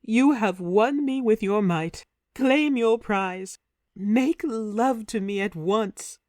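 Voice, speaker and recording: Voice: mid-range voice, slow pace, darkish tone, no echo, clean studio sound, pitched above the performer's own voice, close to an everyday voice. A storyteller voicing a passionate, immortal warrior queen, in a raised, ardent tone, in a storybook reading. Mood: adoring. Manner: pleading, ardent.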